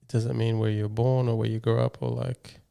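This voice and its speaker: Guy hosting a podcast, low dark smooth voice, pretty flat, laid back and thinking out loud. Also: fairly quick. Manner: laid-back, reflective, lots of "like" and hedging. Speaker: podcast host. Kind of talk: casual interview chat.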